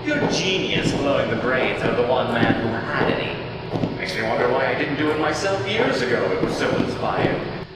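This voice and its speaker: A man playing a cynical, weary guide in a radio play, sarcastic and defiant with drawn-out emphasis. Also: mid-range voice, expressive, lightly acted. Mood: bitterly sarcastic. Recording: long reverb with clear background noise.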